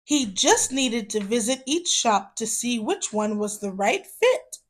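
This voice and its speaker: A woman reads a children's story aloud, narrating expressively and deliberately for young listeners. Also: on the high side, sing-song.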